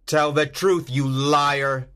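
A man's voice: medium pitch, animated, normal speed, pushed and bright, voice pushed up. A man voicing a bold, righteous masked swordsman hero in a storybook, declaiming in short, emphatic sentences. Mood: threatening.

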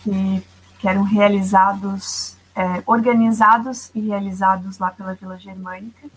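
A woman from Santa Catarina, a publicist guest, talking in a measured, careful way over a video call. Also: mid-range voice, flat delivery, slight background noise, rough recording. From Santa Catarina, Brazil. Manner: measured, careful, with many "né" tags. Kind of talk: casual interview talk.

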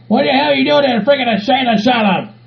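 Shrill, ranting Southern hillbilly. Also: male voice, quick pace, gravelly. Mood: bewildered. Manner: ranting, repetitive, exasperated, folksy cursing. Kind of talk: improvised comedy skit in character voices. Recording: muffled lo-fi recording with faint music.

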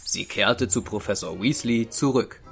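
A male narrator tells a scripted audio drama. His voice is fairly deep with a gravelly creak, set over quiet music. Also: a little slow.

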